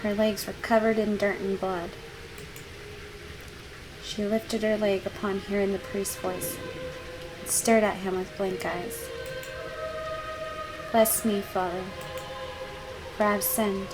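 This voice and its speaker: Slow-paced woman reading game narration. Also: mid-range voice, little pitch movement, over music, low-quality recording. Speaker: gaming channel host. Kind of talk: reading visual novel narration aloud.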